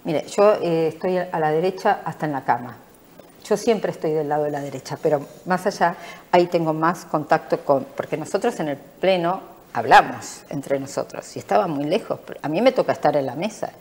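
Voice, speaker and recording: A middle-aged Argentine woman in a studio interview, speaking with a lowish pitch. She is emphatic, repeats her points and pushes them home. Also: moderate pace, darkish tone. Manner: emphatic and repetitive. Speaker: interviewed politician.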